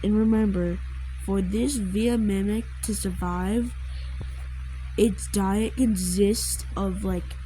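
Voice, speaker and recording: A woman giving casual commentary while drawing, rambling and halting as the creator explains a creature design, with trailing asides. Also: mid-range voice, warm tone, a little hiss or hum, poor audio.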